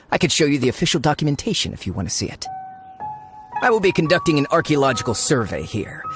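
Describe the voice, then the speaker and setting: A man's voice: medium pitch, natural voice. A man playing a self-assured archaeological researcher in a video game, glib, businesslike and politely insistent. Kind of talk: scripted video game dialogue.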